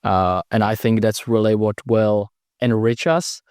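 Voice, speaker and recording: Czech-accented young man, flat low voice. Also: a little slow, smooth, no room sound, studio quality. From Czech Republic. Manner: hesitant, many restarts and fillers. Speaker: guest. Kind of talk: casual interview answers.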